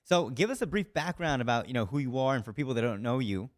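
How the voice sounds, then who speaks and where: A man hosting a casual interview, asking loose, relaxed questions and dropping in short backchannels. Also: medium pitch, fast. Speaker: interviewer host.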